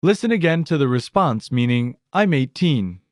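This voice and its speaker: A man's voice: mid-range voice, very animated, normal speed, dry recording, clean studio sound. A man narrating a language lesson audiobook, announcing each word in a fixed scripted pattern.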